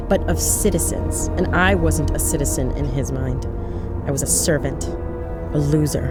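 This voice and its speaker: A woman playing a haunted, sardonic lone driver, confiding her story as horror drama narration. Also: deep voice. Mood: bitter, resolute. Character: haunted, wry woman driving alone at night, narrating. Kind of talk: first-person audio horror drama narration. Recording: loud music bed under voice. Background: music and vehicle sounds.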